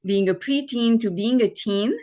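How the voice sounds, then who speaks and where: A woman's voice: a little high, moderate pace. Middle-aged woman telling her story, laughing easily. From Pakistan. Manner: warm storytelling, laughs often. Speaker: guest, life coach and author. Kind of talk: conversational podcast interview.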